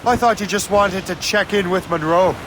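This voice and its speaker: A man's voice: high-pitched, normal speed, lightly acted. A man voicing a wry, sceptical sea captain in a video game, plain-spoken and dry. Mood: wry, guarded. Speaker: ship's captain. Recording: wind and sea noise. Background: ship, waves and wind.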